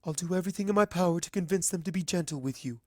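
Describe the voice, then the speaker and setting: A performer voicing a gentle but resolute pony princess in a measured, formal, regretful manner for a story reading. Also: medium pitch, no room sound, studio quality, voice pushed up, natural voice. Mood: pleading, regretful. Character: regal, sorrowful adult mare princess, gentle but resolute.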